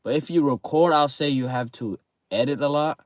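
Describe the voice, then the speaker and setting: A man's voice: medium pitch, normal speed, no room sound. A man streaming live, chatting casually with viewers about a game league, rambling with lots of fillers and repeated words, his throat sore. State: sore throat, voice getting low.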